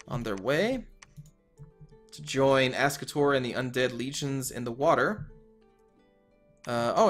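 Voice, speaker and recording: A man commentating his own strategy game turn, thinking aloud and correcting himself, a little hoarse from losing his voice. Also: medium pitch, a bit bright. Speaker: let's player.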